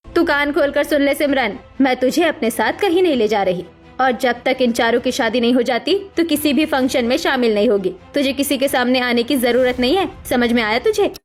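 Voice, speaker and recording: A woman's voice: high-pitched, brisk, lightly acted. A woman voicing a harsh, status-obsessed middle-aged mother in a cartoon story, nagging with dramatic scorn. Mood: harsh, contemptuous. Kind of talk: Hindi cartoon story voice acting. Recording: music behind the voice.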